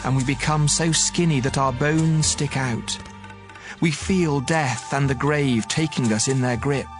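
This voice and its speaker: Over a music bed comes a somewhat high-pitched young man. He is earnest and emphatic, rebuking his elders with declamatory conviction as in an audio Bible drama. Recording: music behind the voice.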